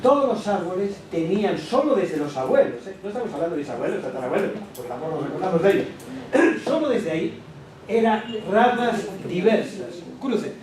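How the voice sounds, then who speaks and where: A man gives an animated lecture to teachers, asking the room rhetorical questions and adding quick asides to the audience. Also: high voice, crowd noise. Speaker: education speaker at a teachers' workshop. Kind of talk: lecture presentation. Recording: echoey hall, distant mic, background noise.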